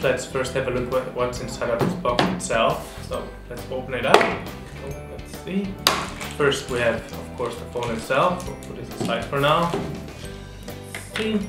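A young man presenting an unboxing review to camera, seated at a table in an indoor studio. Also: medium pitch. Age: young adult. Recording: roomy echo, music behind. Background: background music.

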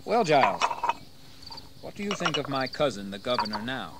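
An actor playing a genteel, confident young colonial gentleman with formal speech in a historical audio drama. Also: medium pitch, sing-song, moderate pace. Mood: assured. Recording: noisy 1980s drama recording.

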